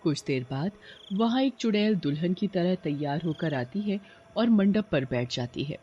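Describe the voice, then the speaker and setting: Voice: medium pitch, expressive, normal speed, mellow, highs cut off, a little hiss or hum. A narrator reading a scripted horror story in a dramatic storytelling style.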